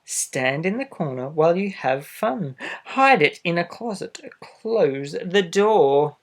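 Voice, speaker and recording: A man reading a children's storybook aloud expressively, adding gentle asides for young listeners. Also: fairly high pitch, lively intonation, takes it slowly. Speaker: storybook reader. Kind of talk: children's picture book read-aloud.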